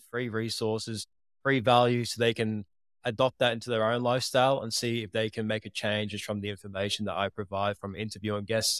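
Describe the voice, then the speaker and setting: A man's voice: mid-range voice, clean tone, no echo. A man in his twenties from England, with a Liverpool accent after years in Australia, earnestly telling his story as a podcast guest. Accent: Liverpool, living in Australia. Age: 20s. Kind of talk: casual interview talk.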